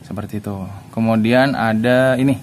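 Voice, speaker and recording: A man's voice: lowish voice, fairly even intonation. Informal man over noisy background. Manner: informal, halting, searching for words as he shows items. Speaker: product reviewer. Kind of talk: casual unboxing and hands-on review.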